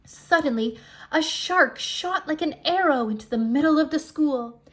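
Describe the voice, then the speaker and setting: A woman reading a picture book aloud to young children in an expressive way, with deliberate dramatic emphasis. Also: high-pitched.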